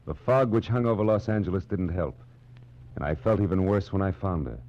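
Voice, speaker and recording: An actor playing a wry, weary hardboiled private detective in a deadpan 1940s radio noir style. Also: male voice, deep voice, a little fast. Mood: brooding, wry. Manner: deadpan, world-weary, sardonic. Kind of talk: 1940s radio noir acting and first-person narration. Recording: dull old radio recording with loud music.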